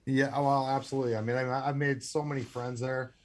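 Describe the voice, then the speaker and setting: A man's voice: medium pitch, level tone, fairly quick. An American man in a radio interview, talking earnestly with many restarts and fillers. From United States. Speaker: radio guest, scholarship founder.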